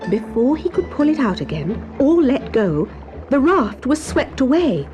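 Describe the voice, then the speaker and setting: A woman reading a children's story as narrator, in an expressive, dramatic storytelling manner. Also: high voice, unhurried, lo-fi sound, soft background music.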